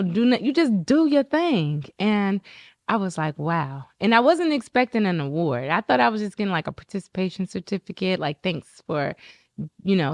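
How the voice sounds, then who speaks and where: A woman in casual podcast conversation, an animated storyteller who acts out what other people said. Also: no echo. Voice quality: describes her own talking voice as high-pitched and squeaky.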